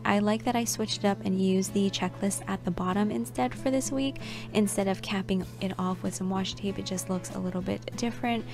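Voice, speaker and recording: A young woman narrates a casual voiceover in a chatty, scatterbrained way, often stopping to correct herself. Her voice sits at an ordinary middle pitch with a slight rasp, since she is coming down with a cold. Clear background music plays under her voice. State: slightly raspy, getting sick. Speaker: planner vlogger.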